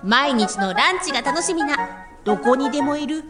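Rich: A woman voicing a single office worker near thirty in a radio drama, dreamy and chatty about romance. Her voice sits high, with music playing behind it. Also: expressive, normal speed.

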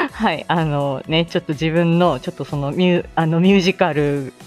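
A woman's voice: deep voice, moderate pace, faint music behind. A woman hosting a casual solo morning show, chatting to listeners in a hesitant, thinking-aloud way. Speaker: host of a morning audio show.

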